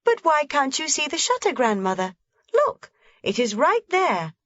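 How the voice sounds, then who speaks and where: A woman's voice: sing-song, a little slow, a bit bright, no room sound, full character voice. A woman voicing an eager, anxious little mountain girl in a storybook reading. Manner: high, eager, pleading. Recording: slightly dull, dry lo-fi recording.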